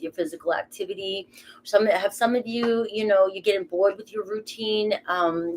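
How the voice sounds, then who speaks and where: A woman's voice: medium pitch, low-quality recording. A middle-aged woman with a Boston accent giving a casual, rambling tarot reading, swearing casually as she talks. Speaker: tarot reader.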